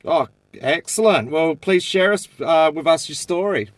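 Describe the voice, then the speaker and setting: An Australian man conducting a phone interview, relaxed and encouraging, with frequent short acknowledgments. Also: on the high side, measured pace, no room sound.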